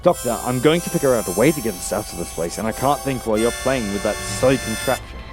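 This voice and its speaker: A man's voice: mid-range voice, lively intonation, fast, close to an everyday voice, poor audio. A man playing a stern, haughty intelligence agent in an audio drama, speaking in a curt, dry, sceptical way. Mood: impatient, dry. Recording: music under the voice.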